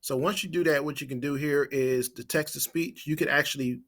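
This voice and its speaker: A man's voice: on the high side, steady tone, a little fast, fairly bright tone. A man presenting an informal screen-share tutorial as a marketing trainer, explaining step by step and thinking aloud as he types.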